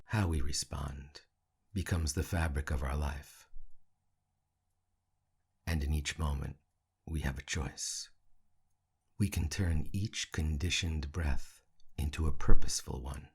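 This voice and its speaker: Male meditation guide: very raspy, breathy and creaky, hushed delivery in short, spaced-out phrases. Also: extremely low pitch. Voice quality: gravelly, husky. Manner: hushed, soothing, with long pauses between short phrases. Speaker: meditation teacher.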